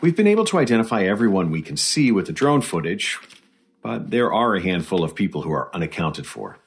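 Male doctor interviewer, husky low voice, lively intonation, patient, inquisitive, audio drama. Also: a little fast, exaggerated voice. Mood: probing, measured. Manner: coaxing, mild, inquisitive.

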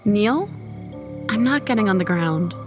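A young woman playing a sassy, sharp-tongued college student in an audio horror drama, blunt and sarcastic. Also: medium pitch, lively intonation, a little slow, music in the background, close to an everyday voice. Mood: incredulous. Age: young adult. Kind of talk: radio horror drama acting.

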